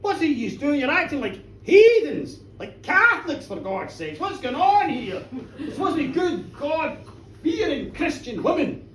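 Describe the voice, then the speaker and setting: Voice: medium pitch, sing-song, unhurried, darkish tone. A storyteller voicing an indignant minister who scolds his villagers in commanding, repeated exclamations. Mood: outraged. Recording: roomy echo, distant mic, faint noise.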